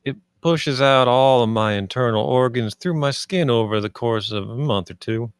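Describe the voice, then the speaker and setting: A man acting an easygoing, ailing space colonist in a script read. His mid-pitched voice is wry and matter-of-fact, recorded dry. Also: moderate pace, clean tone, no room sound, close to an everyday voice. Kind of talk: screenplay table read.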